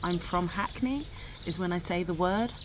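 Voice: medium pitch, normal speed. Self-mocking Londoner chatting casually. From London, England. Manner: self-deprecating, laughs. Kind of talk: casual chat. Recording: noisy background.

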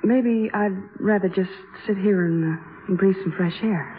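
An actress playing a warm-hearted, teasing young American band singer with a hesitant way of talking in a 1950s radio drama. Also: female voice, medium pitch, normal speed, lightly acted. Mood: tender. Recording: muffled, echoing, hissy old radio recording.

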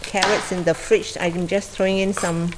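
A woman's voice: lowish voice, noisy background. A woman, the host's mother, chatting casually and laughing while she cooks in her home kitchen. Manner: laughing, relaxed, slow. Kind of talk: casual chat while cooking. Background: frying food.

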